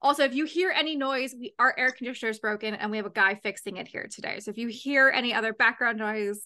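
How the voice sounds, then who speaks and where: A woman hosting a casual podcast chat, bubbly and quick to laugh. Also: a little high, sharp tone. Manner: bubbly, laughs often, talks over others. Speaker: podcast host.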